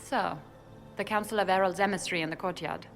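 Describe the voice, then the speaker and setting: A woman's voice: medium pitch, fairly even intonation, fast talker, over music, close to an everyday voice. A woman playing a shrewd elven ambassador and spymaster in a fantasy video game, speaking in a wry, knowing, persuasive way. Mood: wry, probing. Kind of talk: dramatic video game dialogue.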